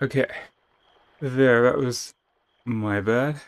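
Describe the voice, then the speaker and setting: A man's voice: medium pitch, takes it slowly, clean tone. A man reading a text game aloud as theatrical narration, stumbling and rereading now and then, with casual asides. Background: music.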